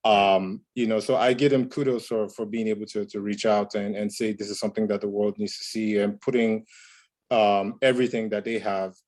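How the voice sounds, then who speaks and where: A man from Nigeria, a comics creator speaking on a panel, measured with many fillers. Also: on the low side, little pitch movement, a little mellow. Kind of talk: panel talk on a video call.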